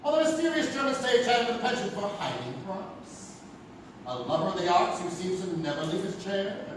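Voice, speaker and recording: A male narrator with a high, very animated voice and a theatrical storytelling style. He is far from the mic in a very echoey, noisy theatre. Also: a little fast. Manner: theatrical, grandiose. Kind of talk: dramatic scripted anthology narration. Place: live theatre stage. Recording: distant, very echoey theatre with noise.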